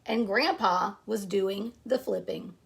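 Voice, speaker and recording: A woman reading a children's picture book aloud, pausing between short phrases and speaking directly to young listeners. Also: mid-range voice, creaky.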